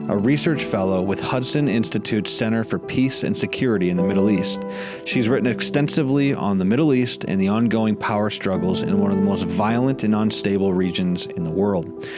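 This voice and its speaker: A man hosts a podcast, reading his introduction and then talking with his guest in an earnest, slightly halting way. His voice sits on the low side. Loud instrumental music plays underneath him. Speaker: podcast host. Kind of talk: scripted intro then casual interview.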